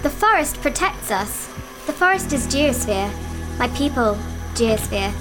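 A woman's voice: fairly high pitch, sing-song, measured pace, poor audio. A woman voicing a terse young forest-dweller who speaks in short, broken phrases. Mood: solemn. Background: music.

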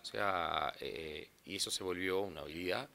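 Very deep-voiced Brazilian man. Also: normal speed, creaky, bright, forceful tone. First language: Portuguese. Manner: rambling, restarts often. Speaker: interpreter. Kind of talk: casual interview talk.

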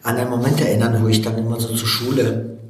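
A man's voice: medium pitch, level tone, quick pace, a little mellow. Middle-aged man, very echoey distant recording. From Baku, Azerbaijan. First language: Russian. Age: 40s. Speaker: interview guest, personal development speaker and coach. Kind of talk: motivational personal storytelling in an interview. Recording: very echoey room, distant from mic.

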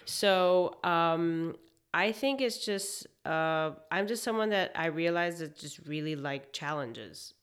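Low-voiced young woman, reflective guest. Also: expressive, slow pace. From Hong Kong. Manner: reflective, hesitant with many fillers. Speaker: guest, chef. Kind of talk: casual interview.

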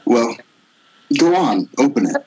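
A man playing a gruff, middle-aged father, awkward with feelings, in an amateur script table read. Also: mid-range voice, very animated, measured pace, darkish tone. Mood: awkward, tender. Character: Alice's gruff, awkward father, a recovering drinker. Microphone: Skype call. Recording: dull lo-fi internet call.

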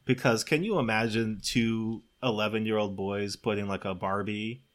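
A man casually breaking down a film in comedic podcast conversation, animated and often acting out quotes. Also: lowish voice, normal speed.

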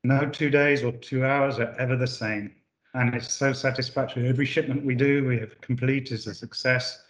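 A man's voice: mid-range voice, steady tone, moderate pace, roomy sound, low-quality recording. A man from the UK, a managing director answering interview questions with many fillers and restarts. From United Kingdom. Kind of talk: corporate podcast interview.

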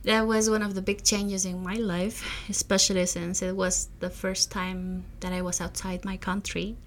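A woman's voice: medium pitch, little pitch movement, normal speed. A young woman from Peru, a native Spanish speaker with a Peruvian accent, earnestly telling her personal story as a podcast guest, with some fillers and restarts.

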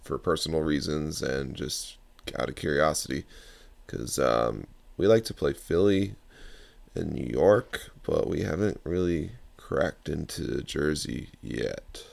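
A man hosting a podcast, with a very deep bassy baritone, who explains his points in a measured, step-by-step way. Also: animated, creaky, no echo. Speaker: podcast host. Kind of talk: casual conversation.

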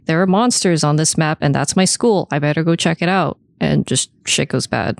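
A woman chats casually as a podcast co-host. Her voice is very low for a woman, recorded dry and free of room sound. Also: moderate pace, gravelly, no room sound. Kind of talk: casual chat.

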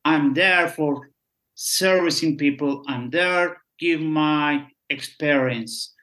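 A man's voice: a little high, takes it slowly, bright, forceful tone. A middle-aged Turkish man talks earnestly in broken English about his years working on cruise ships. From Turkey. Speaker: guest, former cruise ship staff. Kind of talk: casual interview chat.

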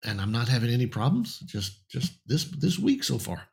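A man of middling pitch teaches scripture on air, his voice slightly raspy and hoarse. He talks in halting bursts, repeating words as he restarts, and often checks in with a quick "okay?". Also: big pitch swings, a little mellow. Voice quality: raspy voice in the morning. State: slightly hoarse, clearing throat. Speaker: Bible teacher. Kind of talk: verse-by-verse Bible teaching on air.

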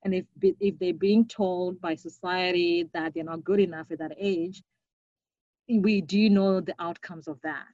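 A young woman from Zimbabwe, a podcast guest, speaking earnestly and reflectively, now and then with a soft laugh. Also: on the low side, fairly even intonation, a little slow, dry recording, highs cut off. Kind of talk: conversational interview.

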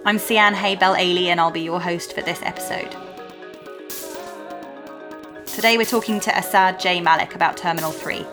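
Female podcast host, lowish voice, measured and encouraging, with music clearly audible behind her. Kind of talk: scripted podcast introduction and interview questions.

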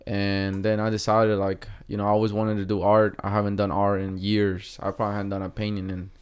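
Mexican accented guy, lower voice, smooth, lots of ums and restarts. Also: monotone, brisk. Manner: hesitant, many fillers and restarts. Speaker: guest artist. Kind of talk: casual interview.

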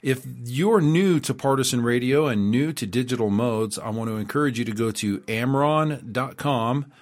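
A man's voice: medium pitch, moderate pace. A man with a middle-range voice hosts a radio show. He speaks informally and instructionally, in an earnest, explanatory manner.

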